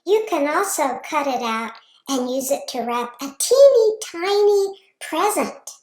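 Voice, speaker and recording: A woman's voice: sits high, very animated, unhurried, darkish tone, pitched above the performer's own voice. A woman voicing a chatty little girl on the phone, matter-of-fact and sing-song. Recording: roomy echo.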